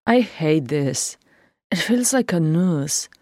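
A woman's voice: animated, slow, darkish tone, no echo, studio quality, full character voice. A woman voicing a blunt, impetuous dwarf woman who grumbles and complains. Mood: grumbling. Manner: higher, more emphatic voice than her narration.

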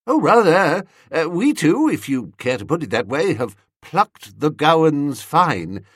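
Casting: male reader as foppish young suitor, airy, cheerfully dim, lightly high, very animated, comic storybook. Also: unhurried, breathy edge, no echo, studio quality, heavily acted. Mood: breezy. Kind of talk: comic audiobook character.

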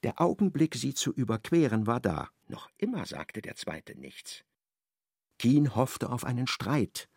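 Gravelly male radio play narrator. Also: mid-range voice, very animated, darkish tone, no echo, clean studio sound. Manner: measured, ironic storytelling.

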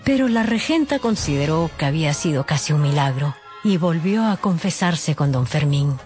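A woman's voice: low-pitched, animated, moderate pace, a little mellow. A woman narrating a dramatised story expressively, with wry, gossipy asides. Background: background music.

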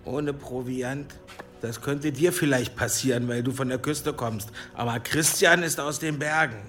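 An actor playing a stern, impatient monk herbalist who speaks like an exasperated schoolmaster in a radio play. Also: male voice, medium pitch, fairly even intonation, a little slow, fairly bright tone, faint music behind, close to an everyday voice. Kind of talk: German radio play acting.

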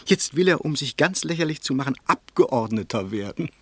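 A man's voice: medium pitch, lively intonation, a little fast, breathy edge. An actor playing a scornful, calculating bourgeois businessman in a German radio play, with a sardonic, articulate delivery. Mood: mocking.

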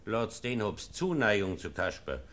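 Strict aristocratic guardian, low voice, formal, measured, proud, vintage radio play. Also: male voice, sing-song, a bit bright. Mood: dry disapproval. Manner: formal, measured, pointedly courteous. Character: stern, proud Nuremberg baron, a strict guardian. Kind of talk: 1950 German radio drama acting. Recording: vintage 1950 radio recording.

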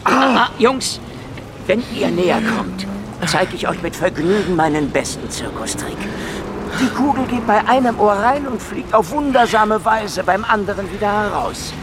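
A man's voice: sits high, animated, a little slow, background noise. A man playing a hardboiled, cocky Soviet secret police investigator in a radio crime drama, with a sardonic, menacing, taunting manner. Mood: taunting menace. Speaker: lead actor and first-person narrator.

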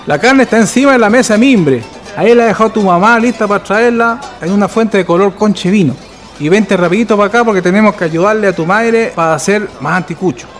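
A man's voice: high voice, brisk, soft background music, natural voice. An actor with a Chilean accent playing a middle-aged, bossy and sly working-class father in a radio drama, speaking colloquially. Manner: colloquial, commanding, teasing.

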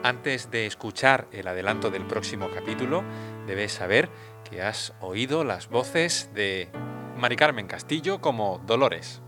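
A man calmly reading the credits of a radio drama as its announcer. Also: on the low side, measured pace, over music.

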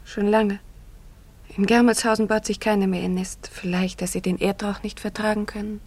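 A woman acting in a German radio play as a mysterious, melancholy young village girl, subdued, breathy and sparing with words. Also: medium pitch, flat delivery, normal speed, a little mellow, lightly acted.

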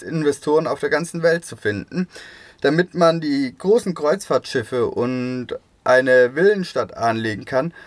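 A man's voice: fairly high pitch, dry recording. A man reading dialogue and interview voice-over for a radio feature. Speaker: radio presenter and voice-over reader.

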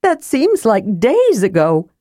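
Small male kender for a fantasy audiobook, played by a woman: high, animated, curious and childishly earnest. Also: takes it slowly, dry recording, studio quality, full character voice. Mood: restless, bored.

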